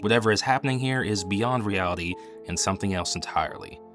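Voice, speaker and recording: A man's voice: on the low side, expressive, quick pace, over music. A man delivering scripted analytical narration as the host of a horror review video.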